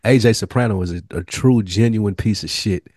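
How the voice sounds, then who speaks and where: A man co-hosting a TV review podcast, chatting casually with slangy, animated storytelling. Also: lowish voice, normal speed, no echo, clean studio sound. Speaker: podcast co-host. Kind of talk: casual chat.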